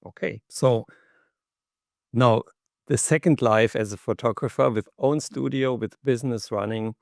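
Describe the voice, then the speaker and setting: A man hosting an interview, asking questions with hesitant pauses and fillers. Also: mid-range voice, gravelly, a little mellow, dry recording, clean studio sound. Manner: hesitant questioning, many ums. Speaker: podcast host.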